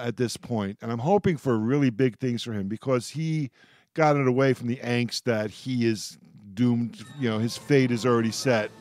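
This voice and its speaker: A man hosting a radio roundtable, talking in a casual, rambling fan-discussion style and often halting to repeat his words. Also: medium pitch, a little mellow.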